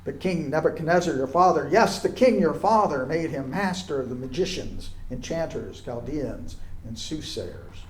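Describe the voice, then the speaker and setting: A man reading scripture aloud in a solemn style, with deliberate, declamatory phrasing. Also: fairly high pitch, lively intonation, slight background noise. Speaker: scripture reader. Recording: echoey, roomy sound.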